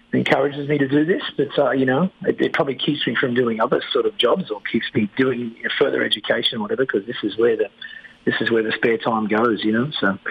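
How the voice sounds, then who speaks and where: Husky laid-back Australian man. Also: medium pitch, no room sound, highs cut off. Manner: laid-back, joking, says "mate". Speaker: band lead singer, guest. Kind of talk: casual radio interview.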